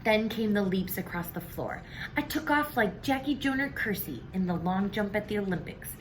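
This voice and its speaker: A woman reading a children's story aloud in an expressive way, acting out the dialogue. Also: mid-range voice, moderate pace, slight background noise, rough recording. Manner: animated, acts out the dialogue. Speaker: teacher reading a picture book aloud.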